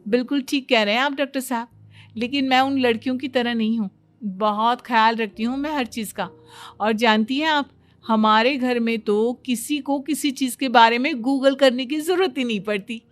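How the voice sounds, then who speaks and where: Young cartoon daughter-in-law, played by a woman, highish voice, self-assured, boastful, explains everything, background music. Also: normal speed, lightly acted. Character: confident know-it-all young daughter-in-law, breezily boastful. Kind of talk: Hindi cartoon story dialogue.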